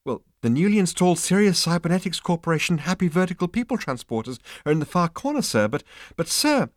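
A man voicing a petulant, easily flustered insect receptionist who speaks icily and squeaks when excited. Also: fast, dry recording, clean studio sound, lightly acted. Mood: prissy. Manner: icy, squeaky, flouncing.

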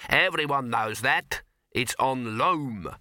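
A man's voice: mid-range voice, expressive, slow pace, pushed and bright, no room sound, clean studio sound, heavily acted. A man voicing a pedantic, grumbling dwarf miner who argues and explains at length. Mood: aggrieved.

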